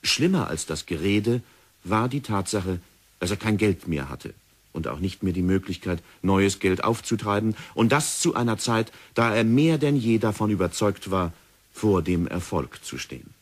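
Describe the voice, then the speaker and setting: A man narrating a vintage radio play in a steady, measured way. His voice is very deep and has a husky edge. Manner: measured storytelling. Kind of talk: scripted narration of a radio drama.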